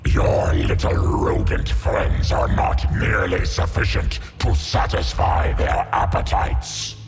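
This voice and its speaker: An actor voicing a menacing, solemn symbiote creature in a video game, with a gravelly, whispery growl and slow, ominous, formal speech. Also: male voice, low voice, steady tone. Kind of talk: video game character dialogue. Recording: music behind the voice.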